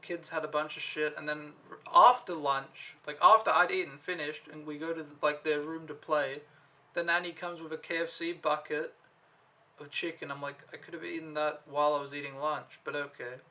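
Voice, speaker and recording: A young man from Denmark tells a casual vlog recap, wandering from point to point with plenty of fillers and the odd swear word. His voice sits a little high and sounds bright and forward. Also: flat delivery, moderate pace. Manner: rambling, laid-back storytelling with lots of fillers and mild swearing. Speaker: au pair vlogger.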